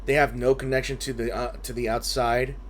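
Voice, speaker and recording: A man's voice: medium pitch, flat delivery, fairly quick, a little hiss or hum. A man giving casual playthrough commentary on a game, blunt and teasing, swearing casually. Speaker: gaming streamer.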